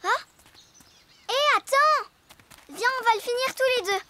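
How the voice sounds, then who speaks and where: A woman's voice: very high-pitched, unhurried, a loud crowd. A woman voicing a boastful, cheeky little girl in a cartoon, with soundtrack music behind. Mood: wheedling. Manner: bragging, then sheepish and hesitant.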